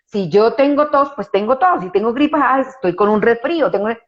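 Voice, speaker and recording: A woman's voice: a little high, animated, fairly quick, highs cut off. A woman psychologist, explaining her view in an interview, often restarting phrases and adding tag questions for agreement. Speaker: psychologist guest.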